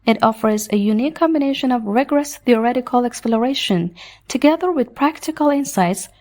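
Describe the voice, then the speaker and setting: A woman's voice: fairly high pitch, animated, fairly quick, darkish tone. A woman doing a scripted read-aloud of exam practice passages.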